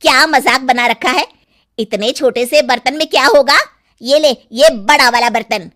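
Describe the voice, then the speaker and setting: Cartoon role: grasping middle-aged mother-in-law played by a woman, high voice, pushy and scolding. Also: moderate pace, fairly bright tone. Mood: bossy, scolding. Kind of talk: cartoon story acting.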